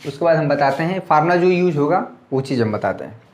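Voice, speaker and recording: A man's voice: fairly high pitch, lively intonation, quick pace, a little mellow, roomy sound. A man teaching a maths lesson at a board with a slightly sore throat, talking casually and often checking that students follow. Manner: chatty, repeats checks like "clear?" to students.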